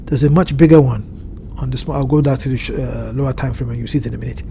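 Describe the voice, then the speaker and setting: A man with a stuffy nose from a cold, his voice sitting at a middle pitch with a dark, mellow tone. He explains a chart in a measured way, often pausing and restarting as he works out his points. A faint low rumble runs underneath. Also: fairly even intonation, gravelly. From Southern Hemisphere. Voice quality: slightly nasal. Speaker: crypto market analyst. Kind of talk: screen-share chart walkthrough. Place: home at evening, indoors.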